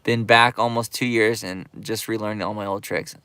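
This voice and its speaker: A man's voice: on the low side, fairly even intonation, moderate pace, pushed and bright, clear, resonant tone, dry recording. Laid-back man reading live chat. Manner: laid-back, reads viewer comments aloud, lots of fillers. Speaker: YouTube skate channel host reading live chat. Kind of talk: casual livestream chat.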